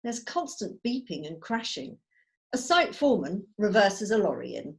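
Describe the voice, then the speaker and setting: Casting: woman narrator, medium pitch, scripted stage directions, table read on a video call. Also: very animated, normal speed, vocal fry.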